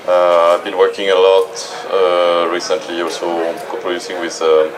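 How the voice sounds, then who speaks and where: A man's voice: sits low, completely flat delivery, moderate pace, crowd noise, low-quality recording. A man from France, a film producer, speaking hesitantly on a festival panel with many fillers. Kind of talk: panel talk.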